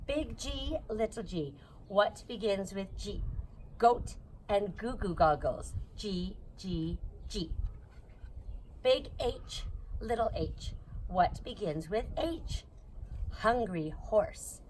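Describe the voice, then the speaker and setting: A woman hosting a children's storytime, reading a picture book aloud outdoors in a sing-song, repetitive way. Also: on the high side. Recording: noisy outdoor ambience.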